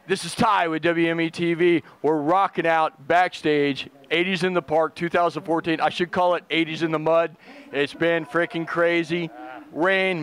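A man's voice: fairly high pitch, a bit bright. A middle-aged man with a slightly raised voice hosts a backstage interview outdoors. He talks with hyped enthusiasm and casual swearing. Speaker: interview host. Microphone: handheld mic.

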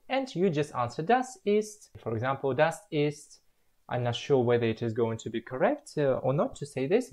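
A young man talks slowly through a home-made lesson, spacing out each word with care. His voice is breathy and a bit husky, while his pitch swings widely from phrase to phrase. He sounds like a learner recording himself on a webcam in a small room. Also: darkish tone. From Russia. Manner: slow, careful, drawn-out word-by-word explanation. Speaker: language learner presenting his progress. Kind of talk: self-recorded language lesson.

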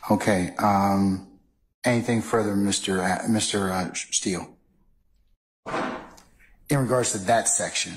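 A man speaking as a judge in a courtroom, ruling in a halting, deliberate way. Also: on the low side, fairly even intonation. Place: large wood-paneled courtroom.

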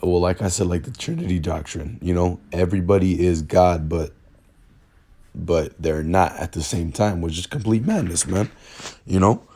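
Hoarse raspy low-voiced man. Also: mellow. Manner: halting, repeats words, many fillers. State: hoarse from illness. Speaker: religious teacher. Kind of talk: informal bible lesson over screen recording. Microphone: phone screen recording.

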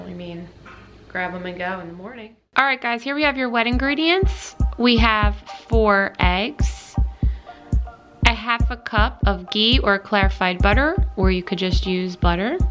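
A woman, hosting a casual recipe vlog in a chatty, informal way. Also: mid-range voice. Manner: chatty, informal, addressing viewers as guys. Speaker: host of a healthy cooking channel. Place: home kitchen, indoors. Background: clear background music.

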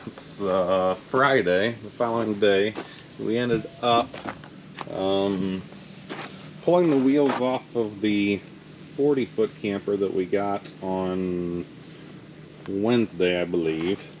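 A man in his thirties narrating a casual work vlog about hauling campers, relaxed and unhurried with lots of fillers. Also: fairly low pitch. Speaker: towing business owner. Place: truck cab and outdoor work yard. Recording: noisy with vehicle and engine hum.

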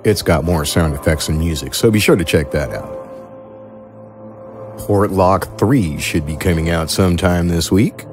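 Male podcast host, low voice, unhurried, announcements, faint music behind. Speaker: host and producer.

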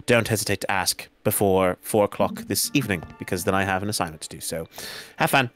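A man's voice: low-pitched, quick pace, music in the background, lightly acted. A man playing a formal, wordy magic-school professor in a Minecraft roleplay. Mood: formal, brisk. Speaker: professor character.

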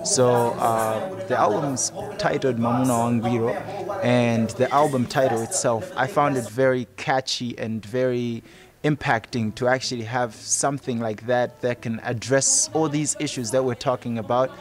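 A man's voice: medium pitch, fairly even intonation, moderate pace. Young malawian guy doing doc interview outside, mid voice, music under it. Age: 20s. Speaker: youth programme participant and rap artist. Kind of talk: documentary interview testimony. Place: outdoors in a campus courtyard. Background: guitar music.

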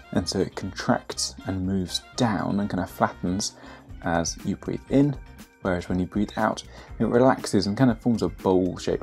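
A young man explaining biology in a patient, clear teaching manner, recorded in a home kitchen on a camera across the table. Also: deep voice, a little mellow, reverberant. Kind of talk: educational explainer.

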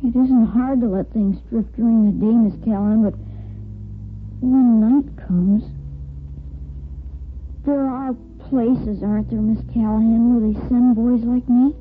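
A woman playing a brave, wistful twelve-year-old orphan boy in a 1950s radio soap, earnest and halting. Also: fairly high pitch, fairly even intonation, slight background noise, natural voice. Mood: sadly resigned. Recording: muffled old radio broadcast, faint music.

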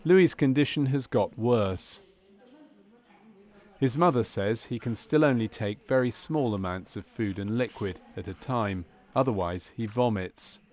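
A man reading scripted narration for a medical training film in a measured, formal manner. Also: mid-range voice, a little mellow.

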